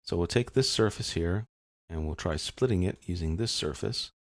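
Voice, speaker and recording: A man narrating a step-by-step software tutorial as an instructor, explaining in an informal way. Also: deep voice, moderate pace, no room sound, studio quality.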